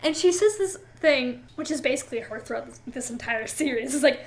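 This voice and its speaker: A woman's voice: sits high, animated, a little fast. A woman co-hosting a casual comedic book chat, giggly, emphatic and incredulous, with plenty of swearing. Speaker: podcast co-host.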